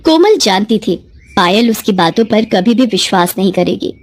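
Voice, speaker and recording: A woman's voice: medium pitch, animated, normal speed. A woman narrating a scripted moral bedtime story as its storyteller.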